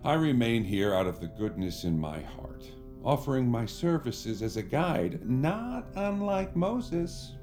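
An actor playing a smarmy, theatrical celebrity who poses as a savior, speaking in a pompous, evasive, grandiose way in an audio drama. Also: male voice, medium pitch, big pitch swings, a little slow, few pauses, a little mellow, faint music behind. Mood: grandiose, reassuring.

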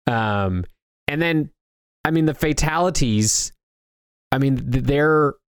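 A man's voice: medium pitch, lively intonation, slow pace, clean tone, no room sound, studio quality. A man co-hosting a video game discussion, talking casually and conversationally, often doubling back on his words. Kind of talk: casual pop-culture discussion.

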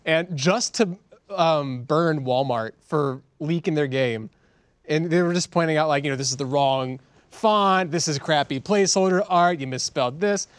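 Guy on a podcast, voice a bit high, very close dry sound, casual chat. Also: moderate pace, smooth, no echo. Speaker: podcast co-host. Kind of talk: casual group banter.

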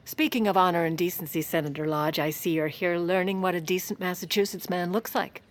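A woman's voice: on the low side, steady tone, a little fast, natural voice. An actress playing a sardonic, middle-aged First Lady in audio fiction, wry and politely barbed. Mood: barbed politeness. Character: sardonic, steely Virginian First Lady, scheming and charming. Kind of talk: audio fiction acting with first-person narration.

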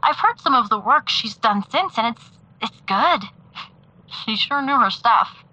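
A woman acting in an audio drama as a reflective, wistful woman who speaks haltingly and thoughtfully. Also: a little high, lively intonation, pushed and bright, lo-fi sound, full character voice. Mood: fond, reflective. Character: reflective, warmly wistful woman missing an old friend.

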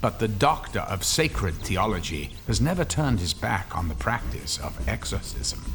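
A man narrating a horror radio drama as a suave storyteller, in a theatrical, measured way with weighty pauses. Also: on the low side, big pitch swings, over music. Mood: dramatic, wry.

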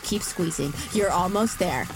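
An actress playing a relentlessly upbeat yet sinister fitness instructor in an audio drama, coaching in a peppy, commanding way with motivational slogans. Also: female voice, fairly low pitch, measured pace, music in the background, rough recording. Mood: calm, menacing.

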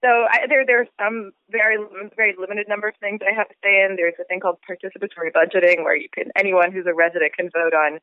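A woman's voice: mid-range voice, fairly even intonation, fast, few pauses, no echo. A woman in her thirties, raised in Switzerland, talking casually about her book in an interview, thinking aloud with fillers and restarts. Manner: thoughtful, frequent fillers and restarts. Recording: tinny phone-like sound.

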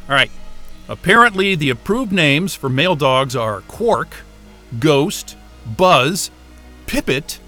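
An actor playing an exasperated, sarcastic but soft-hearted astrophysicist in a naturalistic science-fiction audio drama. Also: male voice, medium pitch, full character voice. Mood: warm, musing. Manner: sarcastic, muttering, casual swearing.

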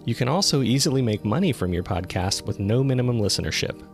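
A man's voice: medium pitch, animated, quick pace, vocal fry, soft background music. A man hosting a podcast, reading scripted narration straight to the listener. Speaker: podcast producer and host.

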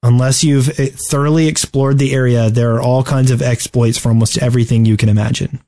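A man co-hosting a podcast, talking through game strategy casually and haltingly as he thinks aloud. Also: medium pitch, steady tone, dark tone. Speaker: podcast host.

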